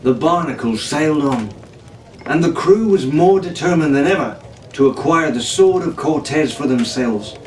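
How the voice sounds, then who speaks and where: A man's voice: on the high side, lively intonation, normal speed. A man narrating an adventure story in a theatrical, pirate-flavoured storyteller's delivery. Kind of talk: dramatic audiobook narration. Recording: roomy, distant, noisy home recording.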